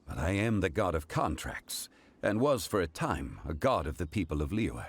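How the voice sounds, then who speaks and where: A man voicing an ancient, dignified male god in a video game, speaking in a calm, composed and reflective way. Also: low-pitched, moderate pace, slight background noise.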